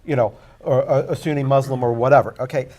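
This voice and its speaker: A man's voice: medium pitch, fairly even intonation, fast, dark tone. A man on a TV panel giving blunt, opinionated takes on the news. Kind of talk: casual panel discussion.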